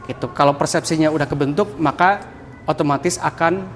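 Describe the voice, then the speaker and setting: A man with a broad Javanese ngapak accent and a fairly high voice talks through his ideas in a relaxed podcast chat. He keeps tagging his points with easy fillers. A faint room ambience sits behind him. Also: brisk, a little hiss or hum. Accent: Javanese Banyumas (ngapak). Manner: explains with many "kayak gitu" tags, laughs easily. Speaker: podcast guest, communication mentor. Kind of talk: casual podcast interview.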